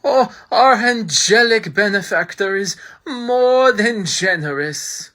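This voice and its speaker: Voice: mid-range voice, expressive, slow pace, bright, forceful tone, pitched above the performer's own voice. A voice actor playing a greedy, scheming poor old man who fawns over a rich visitor, wailing melodramatically. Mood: fawning. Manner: fawning, melodramatic, swings from sneer to wail. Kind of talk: audio drama reading.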